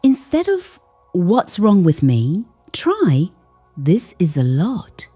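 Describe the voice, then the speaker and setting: Slow, soft-spoken woman, dark breathy voice. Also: on the low side, huge pitch swings, dry recording, faint music behind. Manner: gentle, soothing, hushed delivery in short measured phrases. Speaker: sleep podcast narrator. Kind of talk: soft-spoken bedtime meditation, scripted narration.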